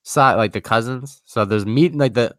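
A young man talking casually on a podcast, relaxed and full of slang, with frequent laughs. His voice is smooth and clean, at an ordinary pitch. The recording is close and dry, with no room sound. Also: normal speed, no room sound. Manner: laid-back, slangy, laughs often. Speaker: podcast co-host. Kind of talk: casual chat.

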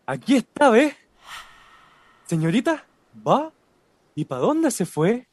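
A man's voice: high-pitched, big pitch swings, slow pace, husky, no echo, full character voice. A man with a Chilean accent acting in a radio drama as a respectful, superstitious cemetery worker. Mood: eager, smitten. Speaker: actor.

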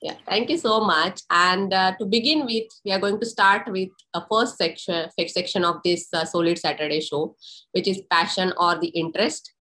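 A woman host introducing her guest from a script in measured phrases, then asking interview questions. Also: fairly low pitch, steady tone, pushed and bright, poor audio. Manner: reads intro in measured phrases, slows on lists. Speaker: podcast host.

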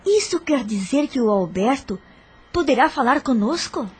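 A woman's voice: sits high, lively intonation, moderate pace, a little mellow, breathy edge, heavily acted. A woman playing an anxious, devoted young widow in a radio drama, speaking earnestly and tenderly. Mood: earnest, curious.

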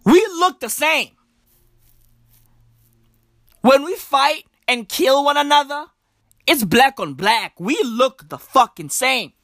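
Woman comic, high voice, slow deliberate delivery, profane slangy rant, dry close sound. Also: lively intonation, fairly bright tone, dry recording. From South Africa. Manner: emphatic, profane, slang-heavy, repeats lines for punch. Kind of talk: stand-up comedy rant.

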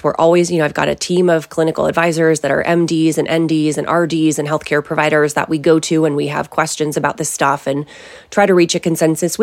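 A middle-aged woman, a company founder, answering interview questions in a confident, emphatic conversational way. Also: lowish voice. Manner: confident, emphatic, lively asides.

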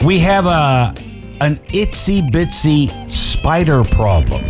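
A man's voice: fairly high pitch, big pitch swings, takes it slowly, over loud music. A middle-aged man co-hosting a casual podcast with dry, teasing banter, on a studio mic. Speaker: podcast co-host.

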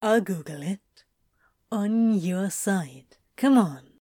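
A teasing, firm male exercise coach in an audio story, acted by a woman. The delivery is unhurried and playful, at a middling pitch for a woman. The sound is very dry, with coaxing authority in each instruction. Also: expressive, dark tone, no room sound. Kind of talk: acted audio fiction reading.

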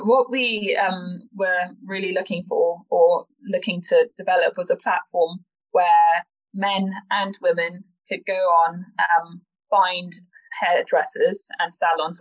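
Woman, lowish pitch, casual podcast guest, dull lo-fi recording. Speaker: interview guest, company founder. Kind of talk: casual podcast interview talk.

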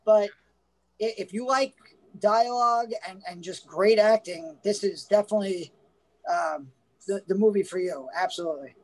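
A man's voice: high voice, normal speed, rough recording. A man co-hosting a casual movie review podcast, talking in a rambling way with lots of restarts. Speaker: podcast co-host.

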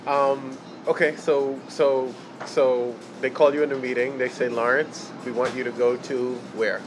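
A man's voice: mid-range voice, measured pace. A man interviewing a guest, giving short acknowledgements and repeating words as he starts questions. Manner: brief backchannels, stammering restarts. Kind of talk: casual interview talk. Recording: steady background noise.

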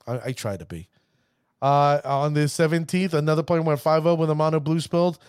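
A fairly high-voiced man runs a casual card-game show, pumping up his shout-outs with showman phrases. He stumbles over names and pushes on. The recording is dry and close with no room sound. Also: fairly quick, clear, resonant tone, dry recording. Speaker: podcast host. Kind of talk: hype-filled casual show talk.

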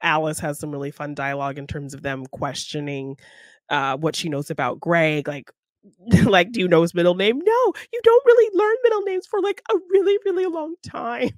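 A woman co-hosting a podcast, chatting casually about film in an animated, giggly way. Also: lowish voice, normal speed, dry recording, studio quality. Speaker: podcast co-host.

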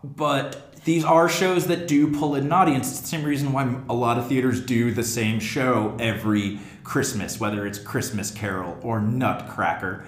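A man's voice: medium pitch, fairly even intonation, normal speed, few pauses. American man talking in an echoey room. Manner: thinks aloud, poses questions to the group. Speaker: podcast host and theater producer. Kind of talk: casual panel discussion.

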